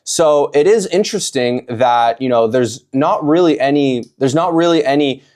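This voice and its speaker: A man's voice: mid-range voice, moderate pace, a little mellow. Male host narrating over protest footage, casual and opinionated, often restarts his sentences. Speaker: video host reacting to own protest footage. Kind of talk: reaction commentary.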